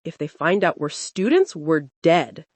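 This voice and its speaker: A woman's voice: low-pitched, sing-song, unhurried, no echo, voice pushed down, heavily acted. Woman voicing a nervous, cautious teenage schoolgirl. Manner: anxious, hesitant.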